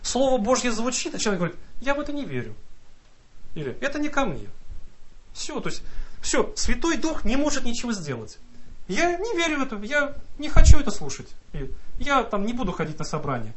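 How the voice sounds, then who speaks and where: A man's voice: extremely high pitch, sing-song, a little fast, a bit bright, a little hiss or hum. A man preaching a sermon, earnest and exhorting, reading scripture aloud and mimicking the excuses of doubters.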